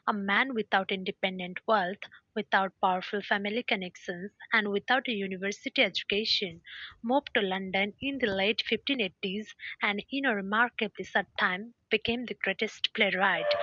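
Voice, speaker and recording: A woman reads a written passage in a bright, crisp-edged voice of medium pitch. It sounds dry and slightly lo-fi. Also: dry recording. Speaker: exam passage reader. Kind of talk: scripted read-aloud narration.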